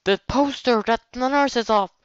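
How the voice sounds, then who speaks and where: A man's voice: very high-pitched, moderate pace, clean tone, no echo, dull audio. A man hosting a let's play, reading a visual novel aloud informally, with jokey asides and stumbles over words.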